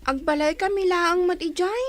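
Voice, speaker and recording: A woman's voice: extremely high pitch, normal speed. A woman voicing a whiny, naive little village child in a radio comedy-drama, with an Ilocano accent. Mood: pleading, naive.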